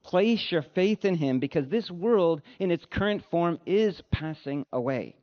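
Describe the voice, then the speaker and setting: Man preaching with animated, swinging pitch. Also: unhurried. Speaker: pastor. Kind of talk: sermon. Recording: dull, lo-fi sound.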